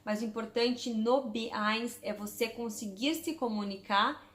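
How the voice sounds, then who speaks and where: A woman's voice: on the high side, measured pace, fairly bright tone, roomy sound. A Brazilian woman in her thirties from Santos, chatting casually to camera as a vlogger and going through lists item by item. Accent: Brazilian Portuguese, from Santos. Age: 30s. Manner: chatty, spells out lists item by item with German words mixed in.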